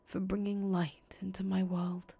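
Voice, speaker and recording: A woman voicing a soft-spoken young man condemned as a heretic, talking quietly in breathy whispers. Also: fairly low pitch, unhurried. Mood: whispered farewell. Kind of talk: audio drama acting.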